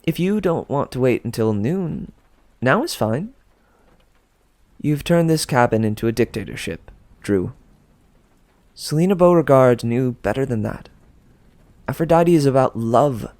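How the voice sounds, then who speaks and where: A man's voice: medium pitch, a little mellow, pitched above the performer's own voice. A man voicing a confident teenage storybook heroine with a bold, breezy, taunting manner. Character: confident, cheerfully defiant teenage girl heroine.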